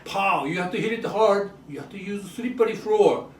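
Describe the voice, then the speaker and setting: A man with a Japanese accent giving an educational demonstration in short, simple phrases, often checking in with a quick question. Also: high voice. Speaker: author and presenter. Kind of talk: educational show-and-tell for children and families. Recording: roomy echo, distant mic, faint noise.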